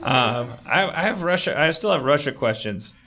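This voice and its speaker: A man's voice: a little high, animated, normal speed, bright, forceful tone. An American man hosting a comedy show, chatting with guests in a rambling, stammering, stop-start way.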